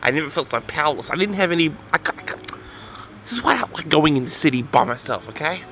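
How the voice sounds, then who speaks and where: A man's voice: fairly high pitch, animated, fast, noisy background. A man telling a story casually as host of an audio log, rambling in an awkward, flustered, exasperated way.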